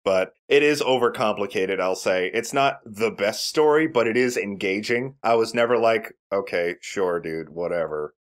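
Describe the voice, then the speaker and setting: A man's voice: lowish voice, normal speed, hardly any pauses. A man giving casual, explanatory game commentary with rambling asides. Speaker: let's play commentator.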